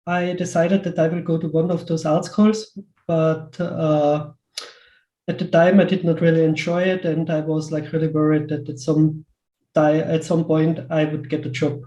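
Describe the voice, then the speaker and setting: A man's voice: on the high side, flat delivery, moderate pace, warm tone. A man with an Austrian accent talking casually as an interview guest, circling back over words and peppering his sentences with asides. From Western Austria. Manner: hesitant, looping delivery with repeated words and many "like" asides. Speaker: interview guest, pixel artist. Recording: roomy, echoey sound.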